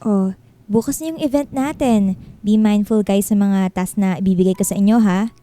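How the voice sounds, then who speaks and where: A woman's voice: mid-range voice, normal speed, mellow, lightly acted. An actress playing a bossy, teasing female friend and team organizer with a Filipino accent in a radio drama. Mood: brisk, bossy.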